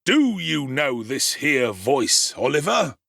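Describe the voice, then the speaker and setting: A man voicing a pompous, self-important male parish beadle who lectures with slow, stern emphasis. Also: medium pitch, sing-song, creaky, pushed and bright, dry recording, clean studio sound, pitched above the performer's own voice, heavily acted.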